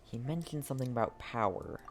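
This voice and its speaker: A woman's voice: rumbling low, lively intonation, brisk, a little hiss or hum. A woman livestreamer reacting casually to a video game as she plays.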